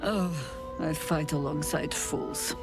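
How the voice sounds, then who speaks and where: A woman playing a stern, sharp-tongued, weary druid in a video game, speaking in a curt, dry, exasperated manner. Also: low-pitched, slow, gravelly, heavily acted. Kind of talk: theatrical video game character acting. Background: prominent background music.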